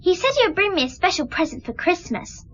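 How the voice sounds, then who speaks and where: Little girl for radio drama: very high, animated, innocent and candid. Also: a little fast, muffled recording, exaggerated voice. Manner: childlike, candid, simple phrasing. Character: innocent, chatty little girl, bedridden and trusting.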